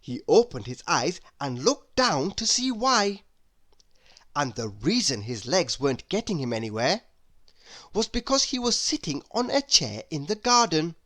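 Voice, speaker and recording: Slow, animated woman reading a storybook. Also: low voice. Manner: dramatic, deliberate storytelling. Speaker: storybook narrator. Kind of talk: expressive children's read-aloud.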